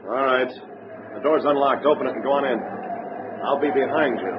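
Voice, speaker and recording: An actor playing a wary, commanding armed guard, curt and challenging, in a 1950s radio drama. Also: male voice, mid-range voice, a little fast, close to an everyday voice. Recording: muffled, very noisy old radio recording.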